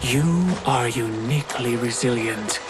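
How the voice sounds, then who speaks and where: Man as a slow, grandiose, mysterious tempter. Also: mid-range voice, loud music behind the voice, natural voice. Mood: smooth, grandiose. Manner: measured, formal, persuasive. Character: grandiose, mysterious male tempter promising power. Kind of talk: cinematic video game acting.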